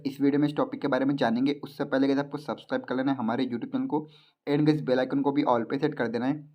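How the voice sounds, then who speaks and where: A man with an Indian accent narrating a casual step-by-step phone tutorial as a video host, repetitively addressing his listeners. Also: mid-range voice. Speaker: YouTube tech tutorial host. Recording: dull lo-fi sound.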